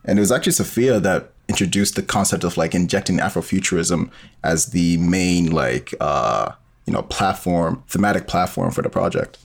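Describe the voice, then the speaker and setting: A man's voice: sits low, normal speed, vocal fry, husky. A young man talking casually as a guest in an interview, with plenty of conversational filler. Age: young adult. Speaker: guest, podcast co-creator.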